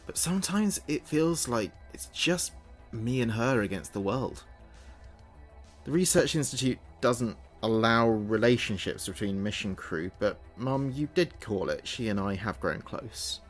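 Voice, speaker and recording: A man playing an earnest spaceship communications officer, speaking his log in a measured, precise way for a sci-fi audio drama. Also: medium pitch, lively intonation, soft background music. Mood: tender, wistful.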